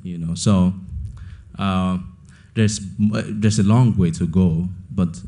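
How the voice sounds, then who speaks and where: Deep, flat-voiced young man from Nigeria. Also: takes it slowly. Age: 20s. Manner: thoughtful, repeats words as he gathers thoughts. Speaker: author being interviewed. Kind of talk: onstage interview.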